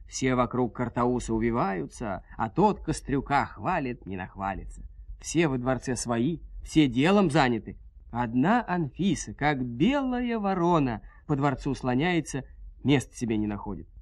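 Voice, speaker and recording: A man's voice: medium pitch, very animated, measured pace, no room sound. Folksy male storyteller, dry studio sound. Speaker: storyteller narrator. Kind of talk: dramatized folk-tale narration.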